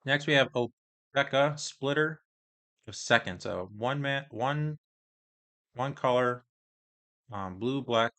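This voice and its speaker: A man casually reviewing trading cards, reading card text aloud in a halting, hesitant way, his voice getting hoarse. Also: medium pitch, pushed and bright. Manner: halting, hesitant, many pauses and restarts.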